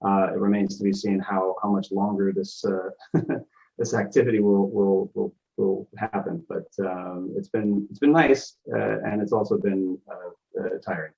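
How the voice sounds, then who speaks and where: A man with a low, husky voice talks over a video call, tired and halting, pausing on lots of fillers and restarts. The call audio is dull and lo-fi. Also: steady tone, a little mellow. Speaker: guest producing live events. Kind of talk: casual video call chat.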